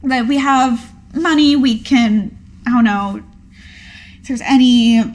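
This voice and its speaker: A woman's voice: fairly high pitch, takes it slowly. A woman playing a tabletop role-playing game, talking casually as she improvises her part.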